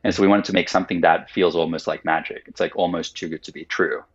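This is a deep, husky man whose first language is Finnish, talking through his thinking in a thoughtful, roundabout way full of "like"s. The audio is dull and lo-fi. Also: fairly even intonation, fast talker. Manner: thoughtful, explains at length with many "like"s. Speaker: guest, company founder. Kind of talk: casual podcast interview.

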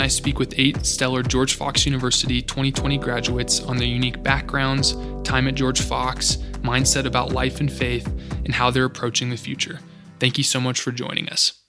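Young guy podcast host, pretty flat monotone, faint guitar behind. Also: mid-range voice. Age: 20s. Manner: upbeat, scripted intro then casual questions. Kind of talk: podcast intro and interview.

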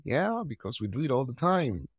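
A man's voice: medium pitch, big pitch swings, normal speed, slightly rough, dry recording, highs cut off. A man from Nigeria with a Nigerian accent, talking casually as co-host of a podcast. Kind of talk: casual conversation.

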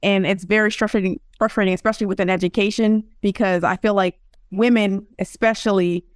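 A woman's voice: mid-range voice, brisk, no room sound, clean studio sound. A young woman, a guest in a casual interview, telling stories and acting out what people said. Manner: animated storyteller, acts out quotes.

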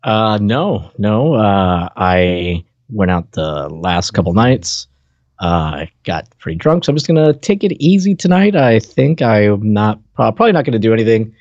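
A laid-back male co-host who talks haltingly, with lively swings in pitch. The recording is dry and a little muffled, like casual chat on a lo-fi mic. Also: no echo. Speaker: podcast co-host.

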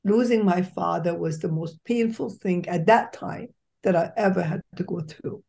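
A woman's voice: fairly low pitch, moderate pace, vocal fry, dark tone. Enthusiastic Egyptian-born woman telling her story. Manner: emphatic, enthusiastic, with fillers and restarts. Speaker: podcast host, doctor. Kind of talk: conversational storytelling interview.

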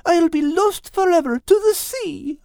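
A man's voice: very high-pitched, a little slow, gravelly, a little mellow, dry recording, studio quality, voice pushed up, exaggerated voice. A man voicing a whiny, cowardly, melodramatic male cat in a children's audiobook. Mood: panicked, whining. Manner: whining, dramatic. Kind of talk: audiobook character voice.

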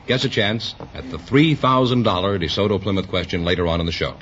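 A man's voice: on the low side, a little fast, fairly bright tone. An American man presenting rules and scores as announcer on an old-time radio quiz. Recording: dull, very noisy vintage radio, crowd behind.

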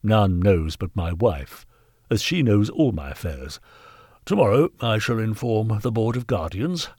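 A man's voice: fairly low pitch, sing-song, unhurried, breathy edge, dry recording, studio quality, full character voice. A man voicing a haughty, bullying Victorian knight in a storybook reading, pompous and scornful. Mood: cold, scornful. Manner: pompous, forceful, contemptuous. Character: haughty, callous, bullying Victorian gentleman knight, angry benefactor. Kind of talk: dramatised audiobook character reading.

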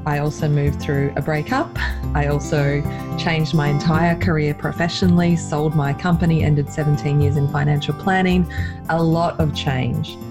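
A woman hosting a podcast, speaking earnestly and reflectively, a little croaky in the early morning. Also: deep voice, fairly even intonation, normal speed, music in the background. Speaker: podcast host. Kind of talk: scripted intro then casual chat.

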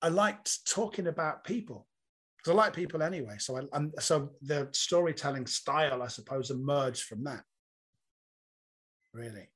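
A man's voice: on the high side, moderate pace, a bit bright, husky. A man, a comedian being interviewed, talking in a reflective, rambling storytelling way. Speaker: comedian guest. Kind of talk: casual interview chat.

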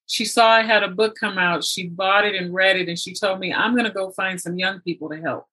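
Bright-voiced woman in reflective conversation. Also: mid-range voice, steady tone, a little fast, slightly rough, poor audio. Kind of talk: reflective conversational talk about spirituality.

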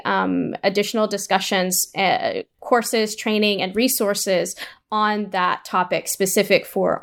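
A woman giving a conversational interview answer, thinking aloud with a halting, word-repeating delivery. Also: medium pitch, gravelly. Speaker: panel interviewee.

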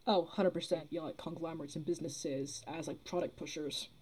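A woman's voice: low-pitched, fairly even intonation, a little fast, darkish tone, husky. A young woman in a casual discussion, earnest and rambling, repeating phrases as she builds her argument. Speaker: podcast guest, theatre producer.

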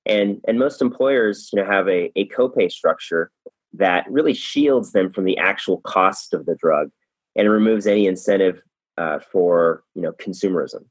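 A man hosts a podcast interview with an upbeat, chatty delivery, often doubling words as he goes. His voice sits low and a little husky. The sound is dry and close, with no room around it. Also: normal speed, no room sound, low-quality recording. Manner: upbeat, with repeated words and restarts. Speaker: podcast host.

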